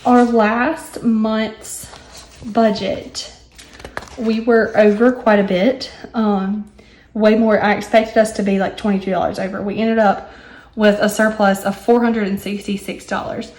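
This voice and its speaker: Young woman, lilt, hoarse, rambling and chatty; roomy echo. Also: mid-range voice, little pitch movement, a little slow, darkish tone. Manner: chatty with many ums and asides. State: hoarse, recovering lost voice. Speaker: budgeting vlogger. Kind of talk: casual chat while working on paper.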